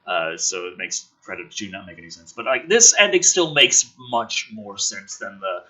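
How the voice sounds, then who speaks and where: A male host with a bright, forceful voice that swings widely in pitch. He has a rough, husky edge and delivers sarcastic, profane commentary, shifting between off-the-cuff ranting and theatrical script reading. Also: animated, normal speed, poor audio. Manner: sweary, sarcastic, informal.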